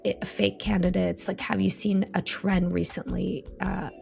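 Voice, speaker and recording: A woman's voice: mid-range voice, flat delivery, measured pace, gravelly, a little mellow, soft background music. A woman hosting an interview, asking probing questions and rephrasing them as she goes. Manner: inquisitive, rephrasing her questions. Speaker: interviewer, host. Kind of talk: conversational interview.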